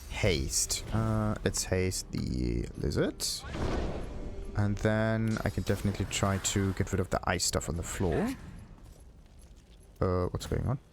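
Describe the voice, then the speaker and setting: A man's voice: sits low, slow pace, gravelly, background noise. A man doing casual let's play commentary, thinking aloud to himself with game music behind him. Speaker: gaming video host. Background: video game music.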